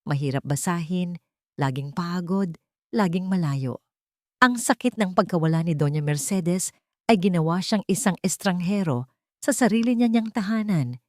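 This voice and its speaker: Low-voiced woman narrating drama. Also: animated, moderate pace, a little mellow, no room sound, clean studio sound. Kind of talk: scripted dramatic first-person story narration.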